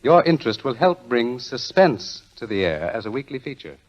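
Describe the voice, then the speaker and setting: A man's voice: medium pitch, very animated, normal speed, very noisy. A man working as a radio announcer, reading a script in formal 1940s style. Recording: muffled vintage radio recording, music behind.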